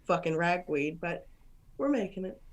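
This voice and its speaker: A woman's voice: lowish voice, lively intonation, normal speed. A woman co-hosting a podcast who sounds congested, making teasing, laughing interjections. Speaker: podcast co-host. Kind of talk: casual banter.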